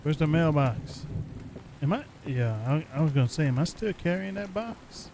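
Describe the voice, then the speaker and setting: A man giving casual, low-key gameplay commentary, thinking aloud in short, terse remarks as he plays. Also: expressive, normal speed. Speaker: gamer playing and commenting. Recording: faint rain noise behind.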